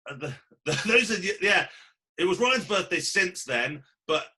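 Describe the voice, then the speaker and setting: A man's voice: sits high, animated, a little slow, sharp tone. A man in his mid-thirties hosting a casual podcast chat, laid-back, with lots of fillers and crude jokes. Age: 30s. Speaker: podcast host.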